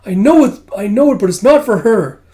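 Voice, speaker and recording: A man puts on a little girl creature from a storybook tale, pitched well up. The child is scared yet defiant, pleading hard and stumbling over words when upset. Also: animated, normal speed, dark tone. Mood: accusing.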